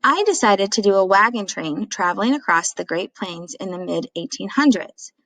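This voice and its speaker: A woman's voice: mid-range voice, lively intonation, normal speed, fairly bright tone, dry recording, rough recording. Woman reading as a dramatic storytelling dad. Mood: eager, boastful. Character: chatty dad, dramatic, self-mocking storyteller of childhood mishaps. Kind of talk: storybook read-aloud.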